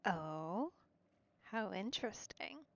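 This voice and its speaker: A woman's voice: medium pitch, very animated, slow pace, muffled recording, a little hiss or hum. A woman streaming a game, reacting casually and musing aloud in a hesitant, trailing way. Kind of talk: casual let's play reactions. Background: soft game music.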